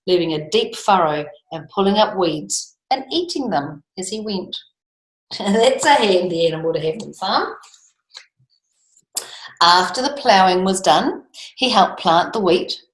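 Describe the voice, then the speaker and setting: A woman reads a children's story aloud in a medium-pitched voice. She leans into words with lilting, expressive emphasis. The room around her is noticeably echoey. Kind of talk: storybook read-aloud with chatty asides.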